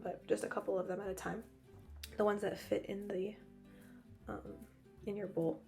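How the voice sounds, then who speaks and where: A young woman with a hoarse voice, still recovering from losing it, narrating a cooking video in a chatty, joking way with frequent laughs. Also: medium pitch, darkish tone. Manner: chatty, joking, laughs often, rambling asides. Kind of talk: casual voice-over narration.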